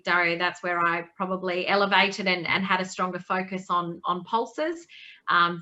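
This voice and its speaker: A middle-aged Australian woman answering interview questions in a conversational way, often restarting and filling pauses. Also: fairly low pitch, pushed and bright, dull audio. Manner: conversational, frequent restarts and fillers. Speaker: guest.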